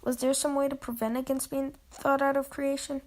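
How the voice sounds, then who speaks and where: A woman's voice: sits high, flat delivery, brisk, darkish tone. A young woman with a scratchy voice, co-hosting a podcast and reading a horror story aloud, with lazy, teasing banter in between. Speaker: podcast co-host.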